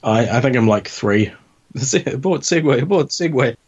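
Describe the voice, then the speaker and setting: A man's voice: medium pitch, expressive, husky. Wry man joking through a brainstorm. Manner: wry, quick to joke at ideas. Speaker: podcast co-host. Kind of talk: casual chat brainstorming. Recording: dull lo-fi podcast audio.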